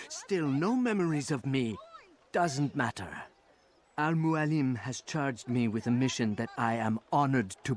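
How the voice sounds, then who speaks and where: A man's voice: medium pitch, lightly acted. A smug assassin informant, acted by a man talks down to a rival in a video game. His mid-range voice is sarcastic and begrudging, full of resentment and condescension. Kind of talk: video game character acting.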